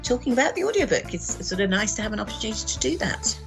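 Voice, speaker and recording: A woman's voice: mid-range voice, sing-song, quick pace, over music. A woman chatting as a podcast guest, explaining things informally and a little hesitantly. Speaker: podcast guest, audiobook producer. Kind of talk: casual interview chat.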